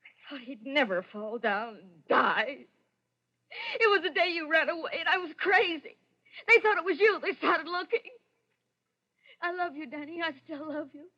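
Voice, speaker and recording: High, bright, desperate lovestruck woman. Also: lively intonation, moderate pace, pauses often. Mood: anguished confession. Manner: breathless, pleading, intensely emotional. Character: desperate lovestruck woman hiding a deadly secret. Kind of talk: 1940s radio melodrama acting. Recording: dull vintage radio recording.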